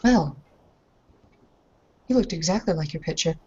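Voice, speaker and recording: A woman voicing a severe, imperious, angry lady in a roleplaying game, speaking in crisp, icily polite tones. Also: deep voice, lively intonation, a little slow, a little mellow, lo-fi sound, heavily acted. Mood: icy, annoyed.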